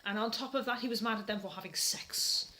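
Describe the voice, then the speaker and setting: A woman's voice: on the high side, brisk, bright, forceful tone. A British woman hosting and reading fan fiction aloud for comedy, keeping a straight-faced delivery. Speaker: host and reader.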